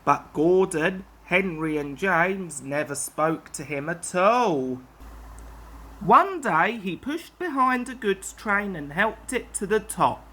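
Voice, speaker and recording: Woman narrating a kids' storybook, slow and lively, bright tone. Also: fairly low pitch, breathy edge. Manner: slow, expressive. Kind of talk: children's story reading.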